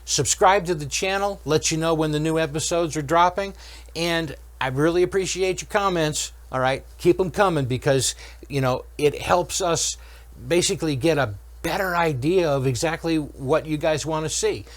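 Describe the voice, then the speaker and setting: A man's voice: on the high side, moderate pace, no room sound. A man hosting a repair channel, giving an informal technical explanation step by step and often checking in with the viewer. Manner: explains step by step, checking in with okay and all right. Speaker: host of an electronics repair channel.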